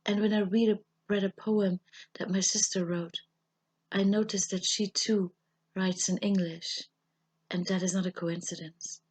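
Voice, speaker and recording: Husky German-accented woman, subdued and even. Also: mid-range voice, poor audio. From German-speaking Europe. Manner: measured, solemn, deliberate phrasing with pauses. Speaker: writer reading her own essay. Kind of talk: scripted literary personal essay reading.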